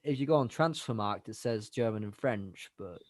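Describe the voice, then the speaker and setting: A man's voice: lowish voice, normal speed, dry recording, clean studio sound. A man co-hosting a live football watch-along, casually analysing players and reading out match stats.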